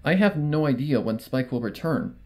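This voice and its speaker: A man's voice: mid-range voice, lively intonation, moderate pace, a little mellow. A man reading a story aloud as a scripted first-person narrator, with acted dialogue. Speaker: fan fiction reader. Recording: music bed under the reading. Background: music.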